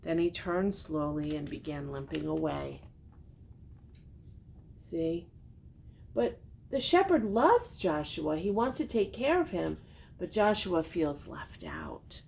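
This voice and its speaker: An older woman reading a children's storybook aloud expressively, pausing for gentle, simply worded explanations. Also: big pitch swings, gravelly. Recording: noticeable background noise with low rumble.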